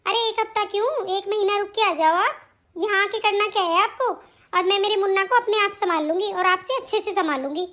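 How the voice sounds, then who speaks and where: A woman's voice: very high-pitched, brisk, bright, forceful tone. A woman voicing a rude, selfish young daughter-in-law in a family cartoon, scolding in a curt, sarcastic way. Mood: scornful. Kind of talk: Hindi family cartoon story dialogue.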